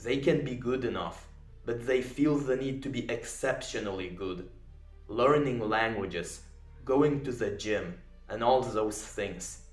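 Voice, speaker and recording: A man's voice: medium pitch, measured pace, roomy sound, soft background music. A young man whose first language is Polish, giving an earnest, emphatic self-improvement commentary. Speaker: video essayist.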